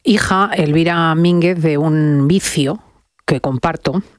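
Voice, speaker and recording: A man with a Spanish accent from Spain interviews on radio. His voice sits a little high in a dry studio, and he speaks conversationally with halting, thoughtful phrasing. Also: no room sound.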